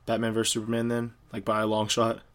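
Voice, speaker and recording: A man's voice: on the low side, monotone, fast, a bit bright. A man co-hosting a casual podcast about movies, joking wryly and sarcastically. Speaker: podcast co-host.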